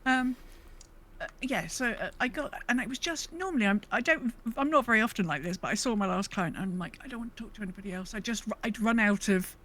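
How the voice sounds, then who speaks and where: A woman chatting casually as a podcast host, telling stories in an animated way and acting out what people said. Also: a little high, fast talker, a little hiss or hum. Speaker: veterinary clinic worker. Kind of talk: casual chat.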